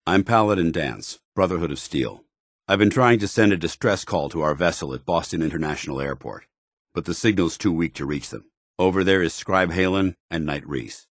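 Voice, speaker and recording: An actor playing a stern, duty-bound military officer in a video game, speaking formally and with command. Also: male voice, deep voice, no echo, clean studio sound. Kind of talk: video game companion dialogue.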